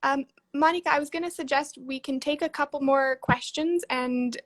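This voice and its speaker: A woman with a high voice hosts a live video chat in an easygoing way. She answers with short agreeing replies and steers the talk along. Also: moderate pace. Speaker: live-stream host. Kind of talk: casual live-stream chat.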